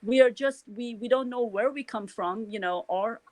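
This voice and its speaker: A woman's voice: on the high side, moderate pace, darkish tone, no room sound. Earnest middle-aged Iranian woman, dry close sound. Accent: Persian. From Iran. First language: Farsi. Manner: earnest, rambling with many restarts and "you know". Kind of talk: casual spoken reflection.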